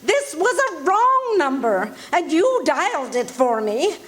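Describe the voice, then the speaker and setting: A woman acting a high-strung, imperious wealthy lady in a live radio play, urgent and breathless. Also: sing-song, takes it slowly, fairly bright tone, a little hiss or hum, heavily acted. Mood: indignant. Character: anxious demanding wealthy woman, invalid. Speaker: radio drama actor. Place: RV resort hall before a live audience.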